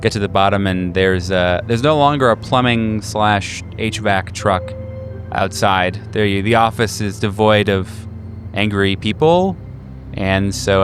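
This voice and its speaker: A man narrating a tabletop game as game master, improvising in a conversational, halting way. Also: low-pitched, a little hiss or hum.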